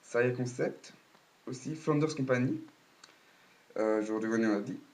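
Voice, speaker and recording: A man's voice: mid-range voice, unhurried, darkish tone. A man with a cold giving a casual, hesitant spoken recap full of fillers and restarts. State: has a cold, coughing. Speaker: video blogger.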